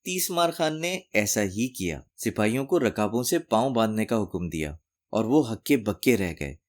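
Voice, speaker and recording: Animated male storyteller with lively pitch. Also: moderate pace. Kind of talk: scripted narration of a folk tale for children.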